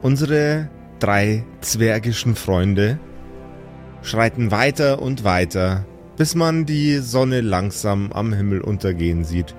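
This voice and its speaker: A man with a fairly low voice works as game master, telling an improvised fantasy story. His narration is measured and descriptive, savouring details over soft background music. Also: lively intonation.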